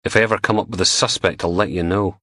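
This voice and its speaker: A man's voice: deep voice, steady tone, fast talker, pushed and bright, dry recording, clean studio sound. A man voicing a tired, sarcastic male detective sergeant. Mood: weary. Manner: sardonic, defiant.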